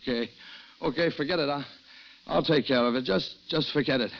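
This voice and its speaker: A man's voice: on the high side, brisk, creaky. An actor playing an anxious, scheming working-class man who narrates his own crime in old radio suspense style, tense and prone to stammering. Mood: urgent. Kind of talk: 1940s-50s radio suspense acting, first-person narration. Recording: dull vintage radio recording, music behind.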